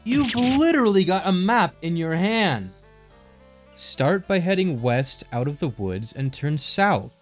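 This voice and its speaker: A man's voice: high-pitched, big pitch swings, unhurried, soft background music. A man voicing a young wandering warrior in a comic dub, easily startled, sarcastic and exasperated in a casual way. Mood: incredulous. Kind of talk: animated comic dub acting.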